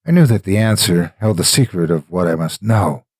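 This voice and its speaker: A man with a husky voice, a storyteller delivering scripted narration in deliberate, theatrical phrasing. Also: deep voice, expressive, no echo, studio quality. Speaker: horror story narrator and host. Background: faint music.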